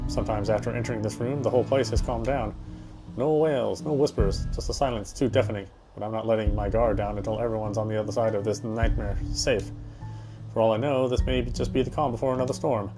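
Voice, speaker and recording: A man's voice: fairly low pitch, fairly even intonation, quick pace, over music. A man reading a horror game story aloud as dramatic narration, occasionally stumbling and rereading phrases.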